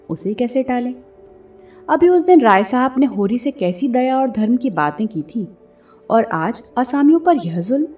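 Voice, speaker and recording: A woman giving an expressive, scripted narration of a classic story, like an audiobook reader. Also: a little high, recorded from a distance. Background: background music.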